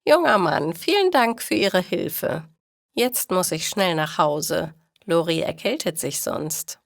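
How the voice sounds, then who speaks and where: A woman's voice: on the low side, lively intonation, takes it slowly, creaky, no room sound, clean studio sound, full character voice. A woman voicing an emphatic, courteous lady, a flustered pet owner in a storybook. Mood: grateful. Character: embarrassed, polite lady who owns a noisy parrot.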